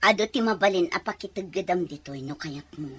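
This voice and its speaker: A woman's voice: fairly low pitch, a little fast, husky. A woman playing a hurt but caring wife and mother in an emotional audio drama. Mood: reproachful, caring.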